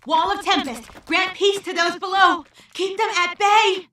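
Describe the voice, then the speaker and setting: A woman's voice: very high voice, fairly even intonation, a little slow, sharp tone, slight background noise, voice pushed up, exaggerated voice. A woman voicing a weary but gritty furred female adventurer who tells her story in the first person with wry asides, in an audio drama style. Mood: fierce incantation.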